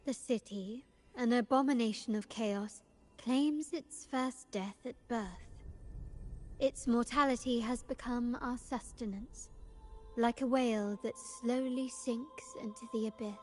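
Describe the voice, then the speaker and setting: A woman playing a poised, cultured and enigmatic young lady in a video game, speaking formally and with measured eloquence. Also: fairly high pitch, darkish tone, soft background music. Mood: measured, musing. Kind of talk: scripted video game dialogue.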